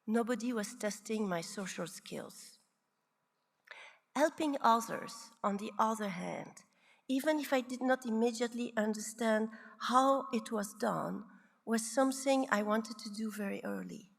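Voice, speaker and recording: Gravelly older woman speaking in a hall. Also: on the high side. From Belgium. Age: 60s. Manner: measured, reflective, deliberate phrasing. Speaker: psychiatrist giving a congress talk. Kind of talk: prepared conference speech. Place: large conference hall.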